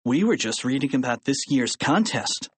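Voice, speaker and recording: Cheeky young schoolboy, done by a male narrator, enthusiastic, lively pitch swings, storybook read. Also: normal speed, slightly rough, dry recording. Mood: innocent. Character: eager, cheeky fourth-grade schoolboys.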